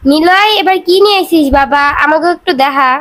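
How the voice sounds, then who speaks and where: A woman's voice: sits high, fast talker, music in the background, close to an everyday voice. A woman playing a village mother in a cartoon, asking questions colloquially. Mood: eager. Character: village mother of a grown migrant son. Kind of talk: cartoon voice acting.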